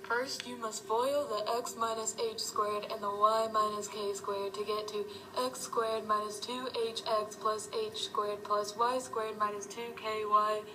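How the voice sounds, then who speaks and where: A woman's voice: mid-range voice, level tone, a little slow, hardly any pauses, a crowd in the background, lightly acted. A woman voicing a sock puppet who recites a textbook maths explanation for kids. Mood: explanatory. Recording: distant mic, music over voice.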